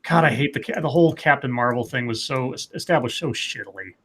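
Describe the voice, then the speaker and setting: A man's voice: medium pitch, quick pace, a bit bright. A man as a guest on a casual live panel chat, reasoning aloud with hesitant restarts. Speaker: podcast guest.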